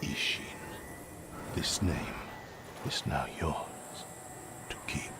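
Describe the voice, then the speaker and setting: An actor playing a dying ancient sword spirit, speaking in a grave, measured, whispery way. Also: male voice, very noisy. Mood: farewell. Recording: game audio under loud music.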